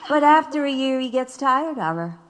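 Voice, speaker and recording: An actress playing a worldly, wry prostitute in jail in an audio drama. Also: female voice, high-pitched, animated, normal speed. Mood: gossipy.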